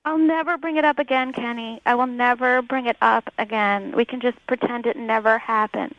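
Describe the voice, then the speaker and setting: A woman's voice: fairly high pitch, steady tone, normal speed, a bit bright, no echo. A woman calling in to a radio show, earnest and coaxing as she pleads her case over the phone. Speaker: radio call-in guest. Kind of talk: pleading personal conversation. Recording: dull band-limited phone call on radio.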